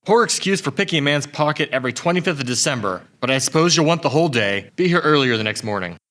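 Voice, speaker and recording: Sharp, grumbling old male miser played by a man. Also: fairly high pitch, expressive, fast. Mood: grudging. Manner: curt, grumbling. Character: grumpy, miserly old businessman, curt and scornful. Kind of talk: audio drama acting.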